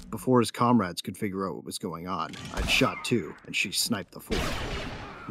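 A man's voice: fairly low pitch, fairly bright tone. A man playing a tough young gunfighter and protective big brother in a sci-fi audio drama, matter-of-fact and wry. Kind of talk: first-person narration and dialogue in sci-fi audio drama.

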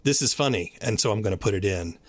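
A man hosting an interview, asking questions conversationally in a measured, thoughtful way. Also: fairly low pitch, lively intonation. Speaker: podcast interviewer.

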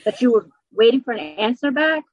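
A middle-aged woman with a New York accent, a stand-up comedian chatting in an interview and telling stories quickly and animatedly. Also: fairly high pitch, no room sound, muffled recording. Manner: fast, animated storytelling with laughs. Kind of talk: casual interview chat.

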